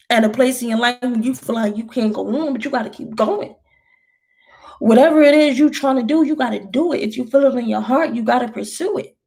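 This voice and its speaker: A woman's voice: on the high side, creaky, darkish tone. A thirty-year-old woman vlogger answering viewer questions in a loose, rambling, encouraging way, with slang. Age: 30s. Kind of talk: casual chat.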